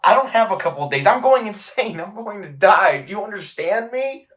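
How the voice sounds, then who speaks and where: A man's voice: high voice, expressive, brisk, a bit bright. A man playing a hot-tempered, hard-drinking young man with a coarse, confrontational manner in an audio drama. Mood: anxious, desperate. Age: young adult.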